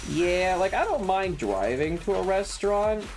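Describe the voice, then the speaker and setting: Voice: mid-range voice, lively intonation, a little slow, noisy background. A streamer chatting casually and playfully while gaming, rambling and mock-grumbling, with game music behind. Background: video game music.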